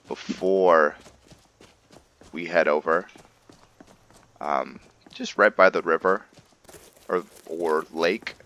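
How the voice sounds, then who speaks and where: A man's voice: very deep voice, vocal fry, pushed and bright. A man hosting a video game roleplay, giving casual, informal commentary and explaining things as he plays.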